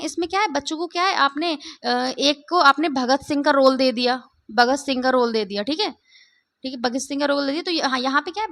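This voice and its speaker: A woman teacher with a hoarse voice, giving an exam-prep lecture and often checking that students follow. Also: sits high, fairly bright tone, dry recording. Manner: repeats points, keeps asking "ठीक है?".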